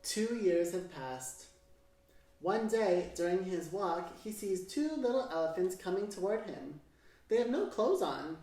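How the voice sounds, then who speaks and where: A woman reading a children's story aloud in an expressive, measured, theatrical way. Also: on the low side. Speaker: storybook narrator. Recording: very echoey room, distant mic, music. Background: piano music.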